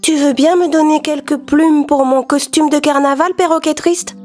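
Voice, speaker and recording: Woman playing a high-voiced, eager little goat kid. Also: fairly even intonation, normal speed, darkish tone, faint music behind, lightly acted. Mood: eager, earnest. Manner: childlike, earnest. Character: young male goat kid, eager and anxious. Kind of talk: children's animated fairy tale acting.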